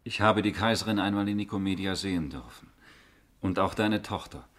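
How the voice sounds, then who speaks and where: An actor in a 1950s German radio play playing a loyal, reverent servant of an emperor, formal and deferential. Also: male voice, low-pitched, brisk, fairly bright tone. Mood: devoted, subdued.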